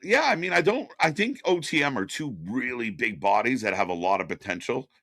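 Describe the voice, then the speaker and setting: Laughing wisecracking man, animated voice. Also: medium pitch, fairly quick, no echo, clean studio sound. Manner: laughs a lot, wisecracking, swears. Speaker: co-host of a live wrestling watch-along. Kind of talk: casual live-stream commentary.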